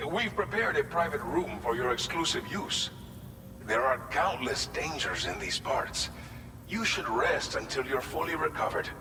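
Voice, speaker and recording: An actor playing an earnest, grateful settlement leader in a video game cutscene, polite and a little hesitant. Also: male voice, medium pitch, sing-song, measured pace. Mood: grateful, concerned. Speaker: game character. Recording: long hall reverb, loud ambient noise.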